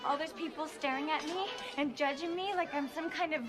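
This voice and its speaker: A woman's voice: sits high, fairly quick, natural voice. A woman playing a vain, panicky teenage girl in a TV drama, whiny and dramatic. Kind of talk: TV drama acting. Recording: TV playback with music, crowd.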